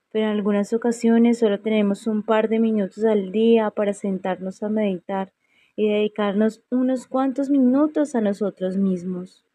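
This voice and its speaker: A woman leading a guided meditation in a serene, unhurried way, leaving long pauses between phrases. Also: mid-range voice, warm tone, no room sound. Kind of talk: slow guided meditation narration.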